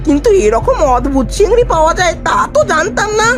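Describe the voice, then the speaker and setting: An excitable, naive young village guy from a cartoon, played by a woman. The voice is high and swings widely in pitch, exclaiming often, over light background music. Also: fast talker. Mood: astonished. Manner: exclamatory, animated. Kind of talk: cartoon voice acting.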